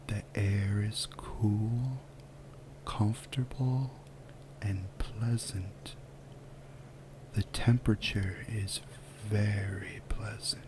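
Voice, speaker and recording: A man softly guiding a relaxation visualization in ASMR style, with a breathy, whispery voice and a soothing, unhurried delivery full of pauses. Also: fairly low pitch, background noise. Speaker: guided relaxation narrator. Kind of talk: soft-spoken ASMR guided visualization.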